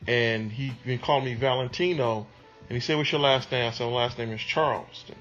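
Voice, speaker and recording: A man speaks in a mid-range voice, recalling things in a relaxed interview manner with plenty of ums and pauses. The recording sounds a little muffled, with soft music playing underneath. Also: medium pitch. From Detroit, USA. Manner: unhurried, conversational, with many ums. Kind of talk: reflective interview answers.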